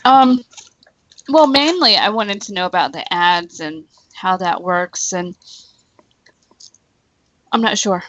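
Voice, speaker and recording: A woman's voice: mid-range voice, slow pace, pauses often, a bit bright, no echo, rough recording. A woman co-hosting, asking curious short questions. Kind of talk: casual interview.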